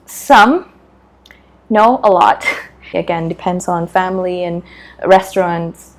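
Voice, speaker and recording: A woman from Vietnam with a Vietnamese accent, chatting casually as she answers questions in halting, thoughtful bits with short punchy answers. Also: on the low side. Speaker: video creator answering questions. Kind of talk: casual chat to camera.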